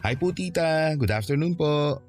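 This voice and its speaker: A man's voice: fairly high pitch, animated, normal speed, faint music behind. A man playing a confident, polite young suitor in a radio drama, speaking easily with a Filipino accent. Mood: polite, deferential. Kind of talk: Tagalog radio drama acting.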